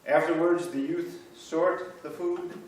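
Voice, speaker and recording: A man's voice: fairly high pitch, fairly even intonation, slow pace. A man reading a church history script aloud in reader's theater, telling the stories with a storyteller's build-up. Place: large church sanctuary. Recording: echoey church from far off.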